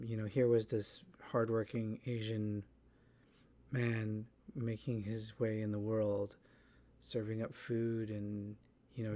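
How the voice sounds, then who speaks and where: Slow, low-voiced thoughtful man. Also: darkish tone. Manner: thoughtful, hesitant, many fillers and restarts. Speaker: theatre artist. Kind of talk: reflective spoken monologue. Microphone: voice-over, face not shown.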